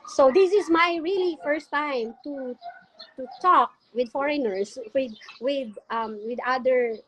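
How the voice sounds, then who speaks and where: High-pitched woman, Filipino accent, native Filipino speaker, animated casual storyteller, acts out dialogue, noisy background. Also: unhurried. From Philippines. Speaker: interview guest, special education teacher.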